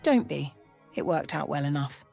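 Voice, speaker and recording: A woman's voice: deep voice, expressive, normal speed, vocal fry, darkish tone, faint music behind, heavily acted. A woman voicing a plain-spoken village mother in an audio story, anxious and cautious, with a careful, hesitant manner. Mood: plainly content.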